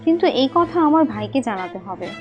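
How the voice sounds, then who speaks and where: A woman's voice: sits high, very animated, brisk, highs cut off, faint music behind. A woman voicing a caring young sister in a fairy-tale cartoon. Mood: polite, earnest.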